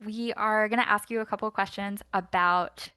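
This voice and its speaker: An enthusiastic woman hosting an interview, quick with encouraging affirmations. Her voice is bright and smooth, with a crisp edge. The recording is close and dry. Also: medium pitch, steady tone, no echo. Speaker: podcast host.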